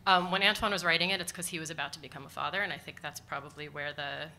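Enthusiastic low-voiced woman at a panel. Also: fairly even intonation, fast, pushed and bright. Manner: enthusiastic, many "um" fillers. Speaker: book editor on a panel. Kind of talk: panel pitch and discussion. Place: large conference hall. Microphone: table microphone.

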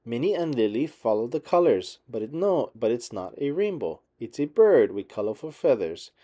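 A man narrating a picture book for young children in a measured, expressive read-aloud manner. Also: medium pitch.

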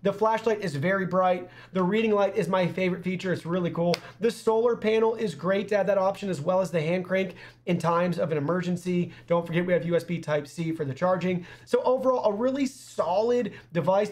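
A man's voice: high-pitched, a little mellow. A young man giving an upbeat, step-by-step product review to camera in an indoor studio. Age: young adult. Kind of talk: scripted-sounding product review presentation to camera.

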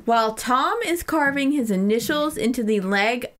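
A woman's voice: a little high, expressive, normal speed. Irreverent American woman chatting casually. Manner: irreverent, freely swearing. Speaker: podcast co-host. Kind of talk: casual chat and book recap.

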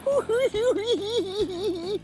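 A middle-aged man hosting a fishing show, explaining tackle in a folksy way from a bass boat on an open lake. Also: very slow. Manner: folksy, excited when landing fish. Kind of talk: outdoor fishing instruction.

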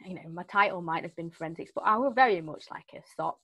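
A woman's voice: fairly low pitch, fast talker, pushed and bright, husky, no echo. British woman guest, 30s, wry and casual, dry close sound. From United Kingdom. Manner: self-deprecating, jokey, loose and rambling. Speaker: guest, security CEO. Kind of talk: casual interview. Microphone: video call.

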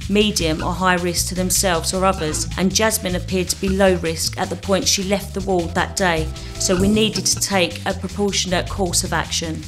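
A woman playing a measured, level-headed senior ward nurse in a scripted drama. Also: fairly low pitch, lightly acted.

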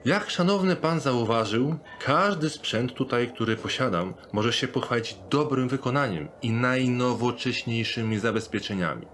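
A man playing a polite, sales-minded locksmith in a dark fantasy audio drama, speaking in a courteous, businesslike, obliging way. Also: medium pitch, moderate pace, few pauses, slight background noise, natural voice. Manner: courteous, businesslike, eager to please.

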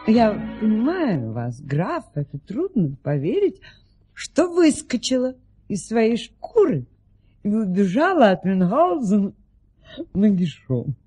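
A poised duchess in a radio play. Her voice is mellow and lively in pitch. She tells tall tales with stately, genteel grace. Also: takes it slowly, husky, soft background music, heavily acted. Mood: poised, savouring. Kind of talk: audio play storytelling.

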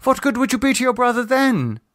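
A man's voice: extremely high pitch, big pitch swings, brisk, fairly bright tone, no echo, clean studio sound, voice pushed up, exaggerated voice. A man voicing a worried, caring woman in an audiobook, questioning earnestly with some reproach. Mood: reproachful. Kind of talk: audiobook character dialogue.

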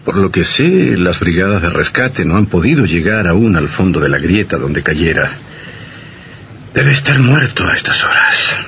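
An actor playing a grave, sympathetic doctor in an old radio drama. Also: male voice, low-pitched, big pitch swings, moderate pace, a bit bright, slight background noise, exaggerated voice. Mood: somber.

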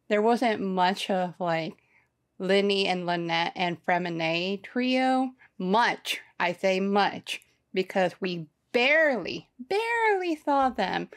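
A young woman hosts a reaction video in a casual voice of middling pitch. She talks slowly and haltingly, often searching for words. She is close to a desk microphone in a small indoor room. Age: young adult. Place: small bedroom setup, indoors. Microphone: desk microphone on boom arm.

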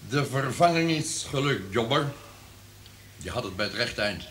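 An actor in a Dutch radio play playing a cautious, courteous conspirator with a measured, sceptical manner. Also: male voice, medium pitch, lively intonation, a bit bright, slight background noise, lightly acted.

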